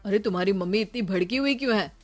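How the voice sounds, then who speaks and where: A woman's voice: medium pitch, fast, faint music behind, close to an everyday voice. A woman playing a wry, teasing husband and father bantering with his family in a comic audio drama. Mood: defensive.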